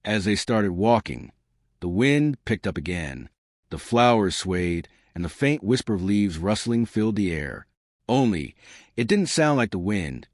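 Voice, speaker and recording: A man's voice: lowish voice, sing-song, measured pace, no room sound, studio quality. A man reading a children's fairytale as a scripted, storybook narration.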